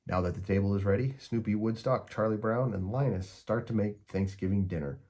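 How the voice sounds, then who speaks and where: A man's voice: lowish voice, fairly quick, a little mellow. A man reading a children's picture book aloud as a story time narrator.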